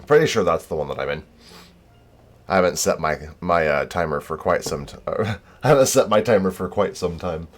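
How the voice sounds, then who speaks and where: An American man with a deep voice streams games and chats as he goes. His delivery is easygoing and informal, wandering from thought to thought. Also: expressive, a little fast. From United States. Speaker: game streamer. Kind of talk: casual livestream chat.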